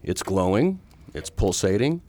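Male actor for earnest middle-aged galactic patrolman, lower register, courteous, radio drama. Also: sing-song, measured pace, dark tone. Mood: polite, wondering. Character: earnest middle-aged space lawman, courteous, awed explorer. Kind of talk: science fiction radio drama acting.

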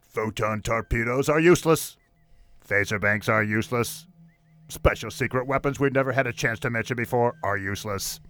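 A man playing a surly, gruff weapons officer in a comedic radio play, clipped and blunt in his reports. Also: medium pitch, moderate pace, fairly bright tone, close to an everyday voice. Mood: grim. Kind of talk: comedic radio theatre parody acting.

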